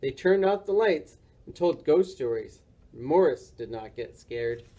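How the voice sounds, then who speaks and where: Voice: mid-range voice, expressive, measured pace, dark tone, slightly rough. A reader narrating a children's storybook for a library story time.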